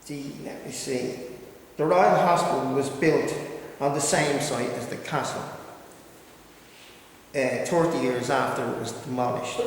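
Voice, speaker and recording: A man's voice: medium pitch, vocal fry, slight background noise. A man giving a history lecture from his notes, reading steadily and then breaking off into hesitant asides. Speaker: local history speaker. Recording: echoey hall recorded from far off.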